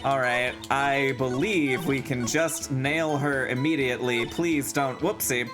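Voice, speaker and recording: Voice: mid-range voice, fairly even intonation, a little slow, over music. A person chatting casually while playing and reading aloud from a courtroom game.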